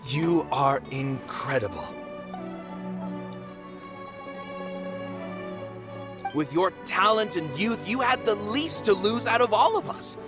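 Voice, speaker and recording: An actor playing a dutiful, proud theater manager in a video game, speaking in a measured, formal, earnest way. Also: male voice, sits high, sing-song, over music. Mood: moved, warm.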